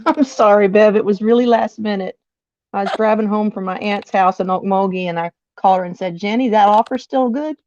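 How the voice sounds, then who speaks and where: A woman's voice: medium pitch, moderate pace, darkish tone, dull audio. A woman from Delaware joining as a special guest over a video call, easygoing and joking, laughing often. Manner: easygoing, jokey, laughs a lot. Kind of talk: casual chat over a call.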